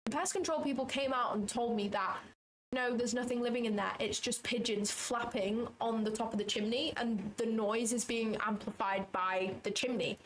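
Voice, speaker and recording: A woman's voice: on the high side, steady tone, moderate pace, slight background noise, poor audio. A woman hosting a trading livestream from her office, chatting casually, thinking aloud, second-guessing herself and swearing now and then. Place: small office.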